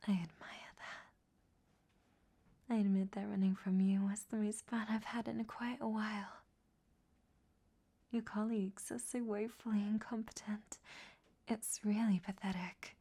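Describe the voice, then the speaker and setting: Casting: actress as a seductive captive vampire woman for whispered ASMR roleplay, soft dark tone, unhurried, teasing delivery. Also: mid-range voice, fairly even intonation. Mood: admiring, smug. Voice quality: breathy whisper. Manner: slow, sultry, teasing. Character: flirtatious, teasing, captured female vampire.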